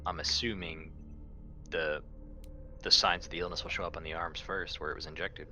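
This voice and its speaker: A man's voice: low-pitched, fairly even intonation, normal speed, bright, forceful tone. A man playing a tabletop roleplaying game, improvising in character in a casual, blunt and assertive way. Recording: clear background noise.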